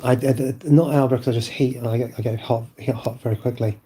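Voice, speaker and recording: A man vlogging from home while unwell, his voice lowered by illness, rambling and hesitant with many fillers. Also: steady tone, fast, warm tone, breathy edge. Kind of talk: casual monologue to camera. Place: at home indoors.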